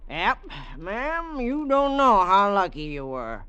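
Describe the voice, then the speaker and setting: An actor playing a gruff, folksy small-town police sergeant in a 1950s radio drama, with a dismissive drawl. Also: male voice, high-pitched, huge pitch swings, takes it slowly, sharp tone, lo-fi sound, exaggerated voice.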